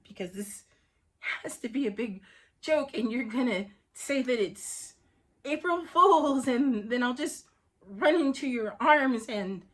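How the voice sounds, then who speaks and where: A woman performing a theatrical monologue as a distraught, wry wife pleading against divorce, emphatic and halting, swinging between sarcasm and pleading. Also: fairly high pitch. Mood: desperate, insistent. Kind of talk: theatrical dramatic comedy monologue.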